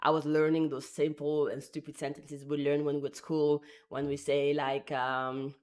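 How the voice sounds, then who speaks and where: A young woman, chatting casually to camera about learning languages, with animated, self-mocking asides. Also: sits low, normal speed. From France. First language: French. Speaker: language vlogger.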